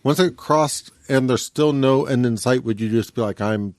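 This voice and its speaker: A man's voice: medium pitch, moderate pace, dry recording. A man talking casually as a podcast co-host, explaining his points and probing with questions. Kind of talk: casual panel chat about comic books.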